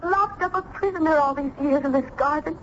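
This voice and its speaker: An actress playing an anguished, lonely young daughter, earnest and pleading in old-time radio drama style. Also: female voice, high voice, fairly quick, fairly bright tone. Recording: dull old radio broadcast, faint noise.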